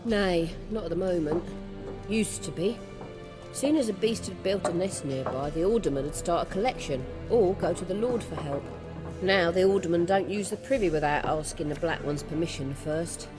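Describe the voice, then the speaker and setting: An actress playing a courteous, wary village innkeeper in a video game, plain-spoken and rustic in manner. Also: female voice, lowish voice, moderate pace, lightly acted. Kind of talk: video game cinematic acting. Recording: clear music behind the voice.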